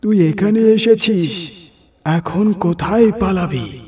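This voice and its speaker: An eerie spirit beckons in a high voice, drawing each word out very slowly. It is menacing and sounds distant over clear music, like a horror audio story haunting. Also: male voice, warm tone. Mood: menacing taunt. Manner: slow, drawn-out, beckoning taunts. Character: eerie ghostly spirit luring its victim, slow and menacing.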